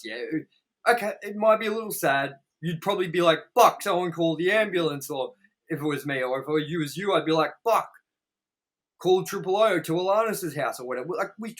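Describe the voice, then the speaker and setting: A man's voice: fairly high pitch, bright, forceful tone. An Australian man hosting a podcast, talking casually and crudely in a ranting, swear-heavy film review. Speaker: podcast host.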